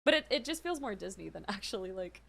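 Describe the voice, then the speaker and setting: A young American woman from Florida chatting casually as she streams a game, bubbly, expressive and rambling. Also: mid-range voice, fast talker, vocal fry. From Florida, United States. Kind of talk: casual reaction chat while gaming. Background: soft game music.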